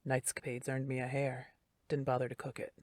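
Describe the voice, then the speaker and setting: Woman doing a gravelly, menacing gaunt man. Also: a little fast, dry recording, studio quality, close to an everyday voice. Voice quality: rough, gravelly and deep. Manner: terse, flat and predatory. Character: gaunt shirtless male survival contestant, menacing.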